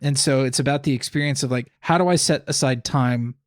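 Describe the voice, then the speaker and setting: A man speaks as a podcast co-host in a relaxed, analytical book conversation. His pitch is average, and he works through thoughts aloud, doubling back on words. The sound is dry and close. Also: fairly quick, no echo. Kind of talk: casual analytical book discussion.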